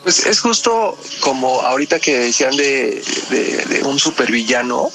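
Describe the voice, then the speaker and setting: A man's voice: mid-range voice, animated, moderate pace, music in the background. A man with a Mexican accent talking analytically in a podcast discussion, explaining things and often checking with tag questions.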